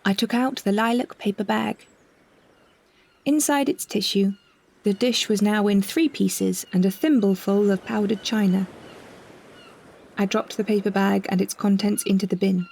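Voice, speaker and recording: A woman reading a first-person literary story in a measured, descriptive way. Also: medium pitch, gravelly, darkish tone, breathy edge. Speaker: narrator and performer of a short story.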